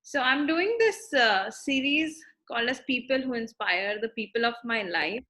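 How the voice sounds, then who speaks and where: A woman opens her interview with polite, slightly hesitant introductions. Her voice is high and very bright. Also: lively intonation, moderate pace. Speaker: interviewer, series host.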